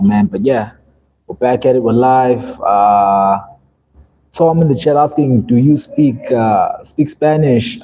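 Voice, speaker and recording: A man's voice: mid-range voice, dark tone, slightly rough, rough recording. A young man from South Africa, whose first language is Zulu, chatting casually and rambling on a live stream, often saying "man". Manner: laid-back, rambling, says "man" often.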